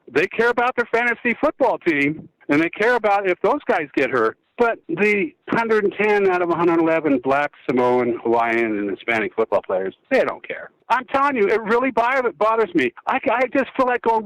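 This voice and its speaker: An older American man with a fairly high voice that leaps up and drops low as he speaks with preachy conviction. He moves between rising outrage and quiet sorrow. The sound is close and dry, with no room around it. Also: sing-song, moderate pace, few pauses, no echo, poor audio. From United States. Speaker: guest, former football quarterback. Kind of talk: phone-in interview talk.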